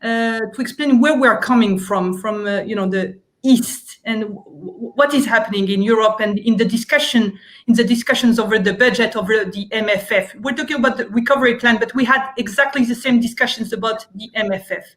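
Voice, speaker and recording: High-voiced woman panelist. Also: a little fast, a bit bright. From Romania. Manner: earnest, persuasive, with many restarts. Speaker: member of European Parliament, panelist. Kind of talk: panel discussion argument.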